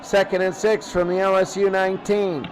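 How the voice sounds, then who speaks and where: A man's voice: high voice, flat delivery, normal speed, slight background noise, poor audio. A man with an American accent calling football play by play, exclaiming on big plays. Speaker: play-by-play commentator. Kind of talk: live American football commentary.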